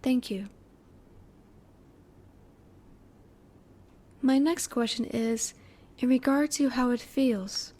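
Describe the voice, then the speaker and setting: A curious female alien, played by a woman in an audio roleplay. Her voice sits fairly high and has a mellow, soft tone. She asks things politely in formal, precise wording, keeping her emotions reserved. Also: close to an everyday voice. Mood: grateful, curious. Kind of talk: roleplay audio drama, one-sided dialogue to the listener.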